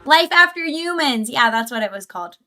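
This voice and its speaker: A woman with a fairly high voice and a very bright tone, reacting live on a stream. She talks informally and rambles, tossing out questions and side comments as they occur to her. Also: lively intonation, a little fast. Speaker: livestreamer.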